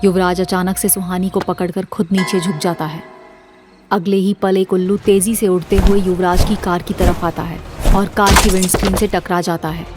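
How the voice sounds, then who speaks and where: Female narrator, lowish pitch, scripted horror storytelling, measured, dramatic, clear background music. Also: flat delivery.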